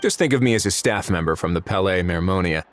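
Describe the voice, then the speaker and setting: A man voicing a deep, dry investigator. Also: faint music behind, close to an everyday voice. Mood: probing. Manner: dry, measured, quietly commanding. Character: calm, probing male investigator. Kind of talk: video game cutscene voice acting.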